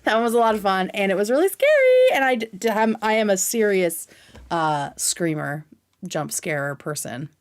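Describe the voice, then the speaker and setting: A woman's voice: medium pitch, very animated, moderate pace, clear, resonant tone. A woman chatting casually as a livestream host, with an informal, scattered manner and free swearing.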